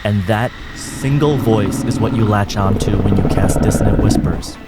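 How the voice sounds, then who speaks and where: A man acting as game master, narrating combat in a measured, descriptive way. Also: on the low side. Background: music.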